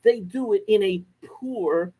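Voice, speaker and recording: A man's voice: high-pitched, slow pace, mellow, slightly rough. A man from Puerto Rico giving a casual off-grid living talk to camera, rambling with many fillers and restarts.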